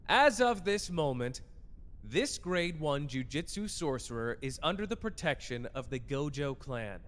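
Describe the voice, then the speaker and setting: A man's voice: mid-range voice, fairly bright tone, a little hiss or hum. Cocky young male sorcerer played by a man, sardonic and slangy, protective clan head for anime roleplay audio; long reverb. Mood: cold, commanding. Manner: taunting, sardonic, casual and slangy. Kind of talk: character roleplay audio drama addressed to the listener.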